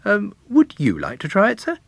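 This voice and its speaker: A man's voice: sits high, wildly swooping pitch, moderate pace, creaky, a bit bright, no room sound, pitched above the performer's own voice, heavily acted. A man voicing a genial, courteous salesman and amateur sleuth in a story reading, mild and inquisitive. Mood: polite curiosity.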